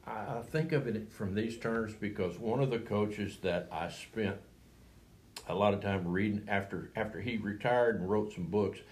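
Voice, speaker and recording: Deep-voiced older coach, rambling. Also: male voice, a little mellow. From Texas. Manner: rambling, halting, with many restarts. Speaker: retired coach. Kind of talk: reflective interview talk.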